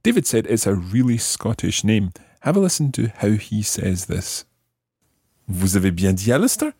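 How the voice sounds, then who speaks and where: Low-voiced man teaching, husky edge. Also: sing-song, darkish tone, no echo, studio quality. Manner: didactic, repeats phrases deliberately. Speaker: language lesson presenter. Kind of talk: explaining French grammar phrase by phrase.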